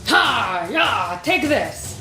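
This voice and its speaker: Bright-voiced woman acting a spirited pirate fighter. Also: fairly high pitch, very animated, very slow, full character voice. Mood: battling. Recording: music behind the voice.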